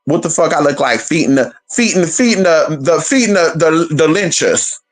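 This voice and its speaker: A woman's voice: sits low, normal speed. A woman chatting casually on a livestream, sassy and profane, calling her viewers honey and girl. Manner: animated, talks straight to viewers.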